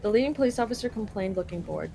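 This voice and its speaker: A woman's voice: medium pitch, animated, rapid-fire, gravelly, mellow. A woman from Canada casually translating a game live, paraphrasing loosely with lots of fillers. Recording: game music behind.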